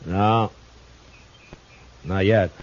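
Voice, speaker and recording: An actor playing a stern, steady Old West lawman in a terse, commanding, unhurried radio western style. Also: low-pitched, exaggerated voice. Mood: steady, instructing. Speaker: lead actor and narrator. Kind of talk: 1950s radio western acting. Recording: dull old radio recording.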